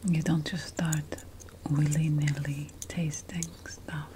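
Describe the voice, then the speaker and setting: A woman playing an ancient, wry and fussy witch mentor in a slow, breathy whispered ASMR fantasy roleplay. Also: deep voice, little pitch movement, background noise. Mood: fussy, instructive. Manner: slow, deliberate, wry, theatrical. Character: ancient Mesopotamian witch mentor, teasing, fussy about plant remedies.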